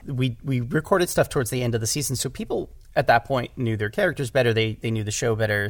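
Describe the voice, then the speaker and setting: A man's voice: medium pitch, gravelly. A man talking casually and emphatically in an interview about his craft, restating phrases as he explains. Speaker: audio drama director and podcast guest; former stage actor.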